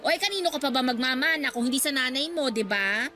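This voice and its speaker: Snobbish, insulting female haggler, middle-aged; high, highly animated radio drama delivery. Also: fast. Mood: scornful. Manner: haughty, scornful, nitpicking. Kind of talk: Tagalog radio drama acting.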